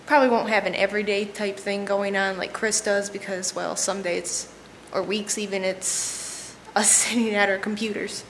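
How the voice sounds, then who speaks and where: A woman's voice: mid-range voice, little pitch movement, moderate pace, hardly any pauses, a bit bright. A woman talking informally and a little hesitantly in an off-the-cuff, unscripted vlog. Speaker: vlogger. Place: indoor office and studio rooms. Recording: roomy, echoing, noticeable background hiss.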